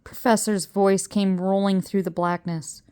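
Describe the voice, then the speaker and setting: A woman's voice: mid-range voice, steady tone, a little slow, mellow, clear, resonant tone. An older woman reading a story aloud in a suspenseful, dramatic storytelling manner. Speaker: storybook reader. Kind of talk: read-aloud story narration.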